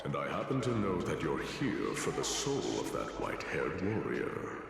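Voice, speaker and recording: A man's voice: extremely low pitch, sing-song, normal speed, gravelly, full character voice. A man playing an imperious war-god titan in a fantasy game, grandiose, formal and taunting, with heavy echoing reverb on the voice. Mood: haughty, solemn. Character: imperious male war-god titan, mad, merciless king. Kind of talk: theatrical fantasy game villain acting. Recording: cavernous reverb, loud music over voice. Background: loud music.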